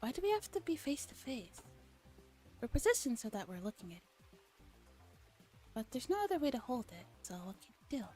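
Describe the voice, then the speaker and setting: A woman's voice: a little high, big pitch swings, brisk, pauses often, darkish tone, soft background music. A woman streamer doing a playful, self-deprecating ASMR read-along of a visual novel. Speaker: amateur streamer.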